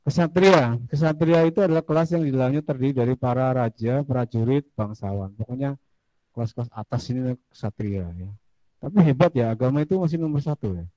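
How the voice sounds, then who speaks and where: A man's voice: mid-range voice, fairly quick, mellow, dry recording, poor audio. A man teaching a casual classroom lecture, chatty, asking students questions and drifting into asides.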